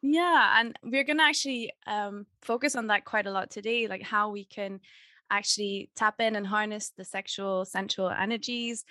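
A woman's voice: medium pitch, moderate pace, sharp tone, dry recording, clean studio sound. A young woman hosting a spiritual podcast, talking in an effusive, heartfelt, chatty way. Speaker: podcast host. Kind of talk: casual podcast interview.

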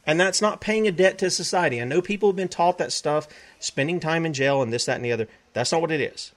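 A man's voice: a little high, fast. Preachy man. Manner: emphatic, repeats himself, quotes scripture. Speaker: talk show host. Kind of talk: preachy broadcast monologue.

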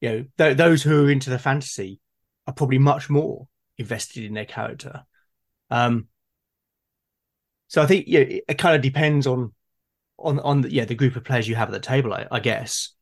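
Tentative man in a relaxed discussion. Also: mid-range voice, moderate pace, vocal fry. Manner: tentative, hedging, repeats words. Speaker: podcast guest. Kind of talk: casual conversation about tabletop gaming.

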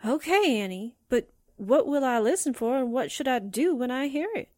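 A woman performs an eager girl-reporter sidekick from a 1940s radio mystery. The voice is high and bounces around a lot, excitable and slangy, in a dry recording. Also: normal speed, no echo. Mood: hesitant, willing. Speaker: actor in an amateur radio drama re-creation.

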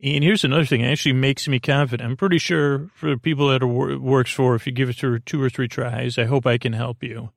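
A man hosting a sleep podcast, rambling slowly and softly on purpose to lull listeners. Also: mid-range voice, fairly even intonation, gravelly, dry recording, clean studio sound. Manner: slow, meandering, soft-spoken.

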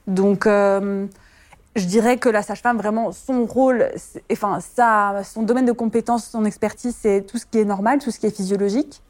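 Soft-toned midwife, hesitant. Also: female voice, mid-range voice, fairly even intonation, moderate pace. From France. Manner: hesitant, many fillers, laughs easily. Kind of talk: personal interview testimony.

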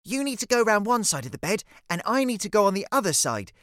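A storyteller voicing an excitable, determined young girl in a children's story. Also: medium pitch, fast, no room sound, studio quality, pitched above the performer's own voice. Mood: determined, bossy.

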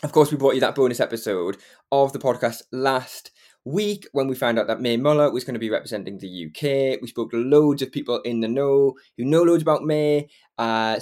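A man's voice: medium pitch, darkish tone. A man with a Geordie accent from Northeast England, hosting a podcast in casual, chatty conversation with frequent fillers and laughs. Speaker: podcast host. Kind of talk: casual chat and interviewing.

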